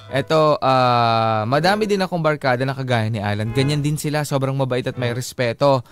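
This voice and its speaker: A man's voice: mid-range voice, moderate pace. A man with a Filipino accent hosting a radio show, chatting casually, agreeing readily and reading out listener comments. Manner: agreeable, chiming in with short affirmations. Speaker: radio host. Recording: music clearly under the voice. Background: background music.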